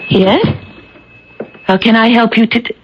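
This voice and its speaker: A woman's voice: fairly high pitch, fairly even intonation, slow, very noisy. An actress playing an earnest, anxious young shop girl in a radio drama, speaking in a hesitant, pleading way. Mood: concerned. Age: young adult. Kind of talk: 1980s radio drama acting. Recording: muffled, lo-fi radio drama recording.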